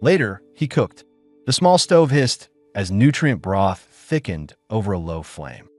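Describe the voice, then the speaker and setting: Male audiobook narrator, lively pitch, faint music underneath. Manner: measured storytelling. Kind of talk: scripted narration.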